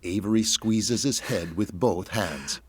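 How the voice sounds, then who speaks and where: A man's voice: fairly low pitch, slow, breathy edge, no echo. A man giving dramatic scripted narration as the narrator of an audio drama. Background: music.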